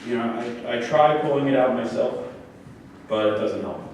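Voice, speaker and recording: A man's voice: mid-range voice, a little mellow, lightly acted. Actor as a delusional, insistent man, echoey and distant. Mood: agitated, obsessive. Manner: insistent, earnest, halting with repeated words. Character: delusional, defensive murder defendant, obsessed with evil spirits. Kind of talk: staged play reading. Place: staged reading in an echoey room. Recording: echoey room, distant mic, faint noise.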